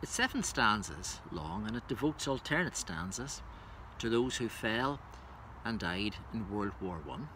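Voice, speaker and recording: A man speaking as a poetry reader, introducing and reciting verse in a measured way. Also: lowish voice, very animated, bright, forceful tone, slight background noise.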